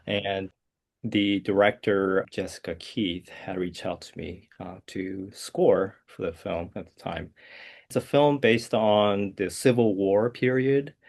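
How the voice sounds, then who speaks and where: Gravelly low-voiced man. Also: slow pace. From South Korea. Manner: soft-spoken, hesitant, many uh and um fillers. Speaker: guest composer. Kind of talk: interview answers.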